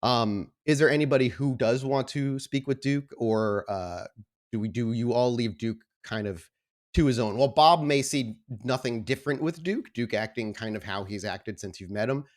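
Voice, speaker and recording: A man improvising narration as game master of a tabletop game, with a halting delivery full of repeated words. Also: mid-range voice. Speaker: game master of an actual play podcast.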